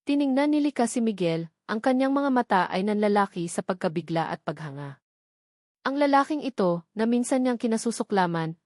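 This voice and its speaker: A woman's voice: mid-range voice, a little mellow, no echo, studio quality. A woman reading scripted dramatic story narration as the storyteller.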